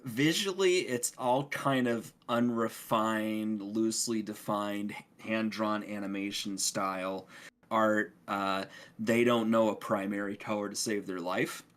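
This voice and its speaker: A man co-hosting a gaming podcast in casual conversation, halting and repeating words as he explains things. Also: lowish voice, steady tone, a bit bright. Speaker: podcast co-host.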